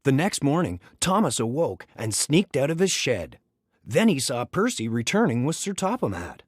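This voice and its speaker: A man reading a children's story aloud in an expressive, dramatic storytelling manner. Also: mid-range voice, moderate pace, husky, no room sound, clean studio sound. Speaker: storybook reader.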